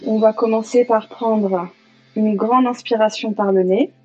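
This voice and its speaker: A woman leading a guided yoga nidra meditation as an instructor, calm and soothing, leaving long pauses. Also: a little high. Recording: slightly dull lo-fi sound, music behind. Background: music.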